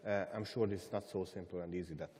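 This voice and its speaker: A man's voice: sits low, steady tone, fast, darkish tone. A man on a conference panel, speaking hesitantly with frequent filler sounds and non-native phrasing. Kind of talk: panel discussion. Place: conference hall stage. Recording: hall reverb from conference stage.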